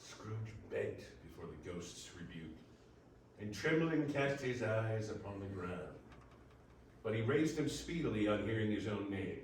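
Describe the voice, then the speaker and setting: A man's voice: lowish voice, expressive, a little slow. A man narrating a classic story as scripted readers theater. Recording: very echoey, distant, faint noise.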